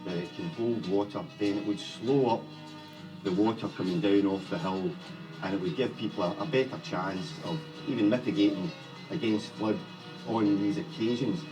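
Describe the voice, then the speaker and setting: A man's voice: fairly low pitch, normal speed. A man speaking earnestly in a documentary video. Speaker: project member in a video. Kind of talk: documentary interview. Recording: distant video clip with music.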